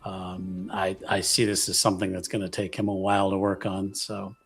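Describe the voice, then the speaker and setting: A man's voice: low-pitched, monotone, normal speed, gravelly, fairly bright tone, slightly rough, faint music behind. A man playing a tabletop game, casually improvising what his character does, hesitant as he thinks aloud.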